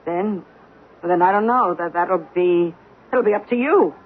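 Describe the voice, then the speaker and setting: An actress playing an anxious, faltering wife in a 1970s radio drama, speaking with hesitant urging. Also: female voice, lowish voice, measured pace. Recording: phone-like, noisy vintage radio recording.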